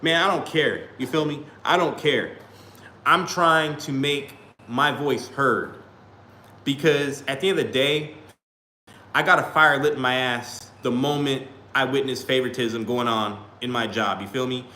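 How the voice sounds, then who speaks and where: Male streamer, mid-pitched, rambling casual delivery, echoey room with faint hum. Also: a little slow, sharp tone. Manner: rambling, repeats "you feel me". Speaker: small YouTuber live-streaming. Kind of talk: casual livestream talk to chat. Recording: roomy echo, faint background hum.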